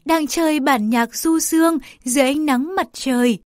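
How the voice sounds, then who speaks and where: High-pitched woman reading a children's story. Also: normal speed. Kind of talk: scripted children's story narration.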